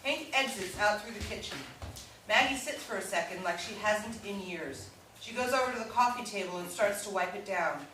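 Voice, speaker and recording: A woman's voice: mid-range voice, expressive, moderate pace. A woman reading stage directions as scripted narration at a live play reading. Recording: echoey room from far off, faint noise.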